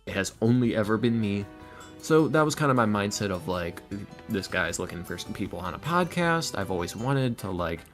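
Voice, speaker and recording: Male podcast guest, fairly low voice, chatty anecdotal delivery with acted-out quotes, light guitar music behind. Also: animated, normal speed. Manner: chatty, informal, acts out quotes. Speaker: podcast guest, voice actor and ASMR creator. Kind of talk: casual chat, storytelling.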